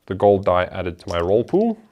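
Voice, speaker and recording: Lively low-voiced man explaining a board game. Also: moderate pace. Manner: chatty, talks through each move aloud. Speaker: board game show host. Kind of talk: solo board game playthrough, explaining rules.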